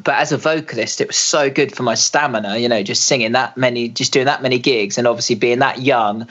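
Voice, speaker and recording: A man's voice: mid-range voice, brisk, fairly bright tone. A man in his thirties hosting a podcast, a rock singer who chats casually and laughs often. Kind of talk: casual interview. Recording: muffled lo-fi audio.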